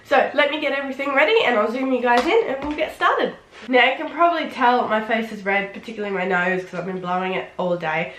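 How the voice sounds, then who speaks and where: A woman with a head cold, sounding nasal and sniffly, chatting excitedly through a casual makeup tutorial. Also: a little high, fast talker, slight background noise. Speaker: beauty vlogger. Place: home room. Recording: roomy, echoey room.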